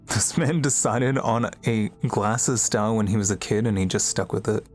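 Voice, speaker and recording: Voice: medium pitch, moderate pace, faint music behind. A streamer giving casual livestream game commentary in a wry, offhand way.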